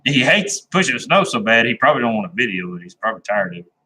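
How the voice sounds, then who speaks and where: A man's voice: mid-range voice, very animated, quick pace, bright, forceful tone, low-quality recording. A man chatting casually with viewers on his live stream, rambling and laid-back, with a folksy, plain-spoken way of talking. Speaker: livestream host chatting with viewers.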